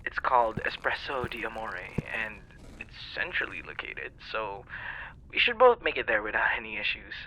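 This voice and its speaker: A man performs a friendly young love interest in an ASMR roleplay, with a very bright, crackly-edged voice. He talks hesitantly and playfully, dropping into soft breathy asides, and his pitch moves around a lot. The recording is slightly dull. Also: sing-song, normal speed, heavily acted. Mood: bashful, hopeful. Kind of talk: ASMR boyfriend roleplay, one-sided conversational acting.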